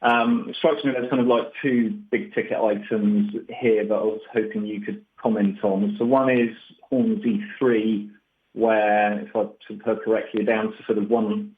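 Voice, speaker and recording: A man asking questions as a financial analyst on an earnings call, in hesitant, stop-start phrases. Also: fairly low pitch. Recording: tinny phone line.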